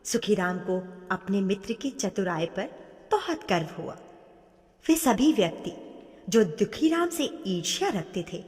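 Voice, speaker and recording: A woman narrating a scripted moral story in an expressive storytelling manner. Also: medium pitch, a little slow. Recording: long hall reverb, faint music.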